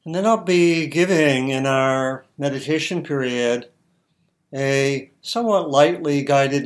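A man teaching meditation, giving gentle guided instructions in halting, spaced-out short phrases. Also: mid-range voice.

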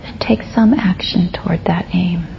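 A muffled, lo-fi recording with faint noise carries a woman guiding a meditation. Her low voice is soft, turning breathy as phrases end. She speaks gently, leaving generous pauses between short phrases. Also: steady tone. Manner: gentle, unhurried, with long pauses. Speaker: meditation teacher. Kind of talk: guided meditation.

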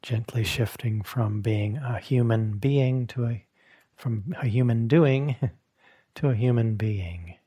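A man with a mellow, husky voice guides a meditation. He speaks very slowly and gently, leaving long pauses between short phrases, like a teacher settling a group into stillness. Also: mid-range voice. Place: meditation hall at a retreat.

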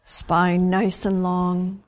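A woman leading a guided meditation as a teacher, gentle and unhurried, leaving long pauses between short phrases. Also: on the low side, monotone.

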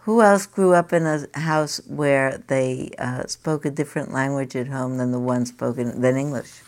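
An older woman teaching a group, asking many quick questions in a chatty way. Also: a bass voice, steady tone, a little mellow. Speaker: meditation teacher leading a group. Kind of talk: informal group discussion.

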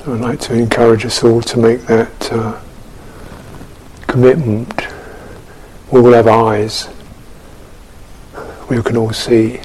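A man giving a contemplative guided meditation as a Buddhist teacher, speaking in measured phrases with long pauses. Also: medium pitch, level tone, darkish tone, a little hiss or hum, poor audio. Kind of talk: guided meditation and dharma talk.

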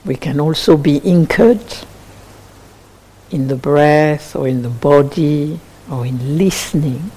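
A woman guides a meditation in a dark, mellow voice that sits a little low. She speaks very slowly, offering short, sparse phrases and leaving long pauses between them. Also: husky, rough recording. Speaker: meditation teacher.

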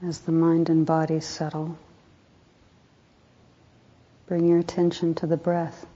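A woman meditation teacher leading a guided meditation in a soft, calm voice, unhurried, with pauses between short phrases. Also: low voice. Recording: dull, muffled lo-fi recording.

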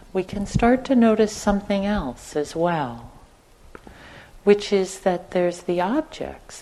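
A woman teaching guided meditation, speaking gently and leaving long pauses between short phrases. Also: mid-range voice, poor audio. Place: meditation retreat center.

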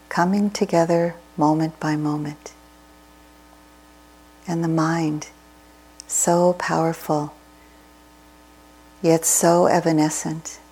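A woman leads a guided meditation in a low, very even voice. She speaks very slowly and deliberately, leaving space between short, soothing phrases as she gives gentle instruction. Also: vocal fry, breathy edge, poor audio. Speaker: meditation teacher. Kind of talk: guided meditation, slow and deliberate with long pauses.

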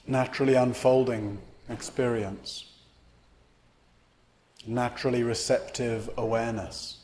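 A man leading a guided meditation as a teacher, giving measured instructions in short phrases with pauses between them. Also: medium pitch, fairly even intonation, darkish tone. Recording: clear background noise, room ambience.

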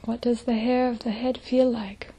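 A woman leading a guided meditation as a teacher, speaking gently in a soft, breathy, hushed voice with long pauses. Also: fairly high pitch, level tone.

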